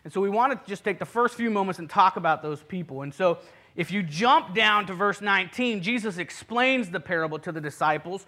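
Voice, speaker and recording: Bright-voiced pastor in his thirties. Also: high-pitched, lively intonation, brisk. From Puerto Rico. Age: 30s. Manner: earnest, self-deprecating, many restarts and fillers. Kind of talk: sermon.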